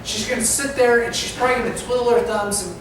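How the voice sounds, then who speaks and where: A man's voice: very high-pitched, fairly even intonation, quick pace. A man speaking to an audience, giving coaching advice directly to his listeners. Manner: instructive, coaching tone with direct address. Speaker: speaker giving a club talk. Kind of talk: presentation to an audience. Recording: echoey hall from far off with noise.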